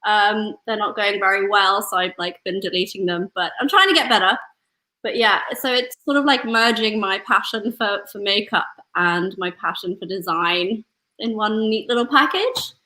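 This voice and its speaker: A woman's voice: medium pitch, moderate pace, pushed and bright, poor audio. A woman from Kenya chatting as a guest on a comedy show. She sounds a little unsure and giggles as she talks. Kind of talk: casual chat.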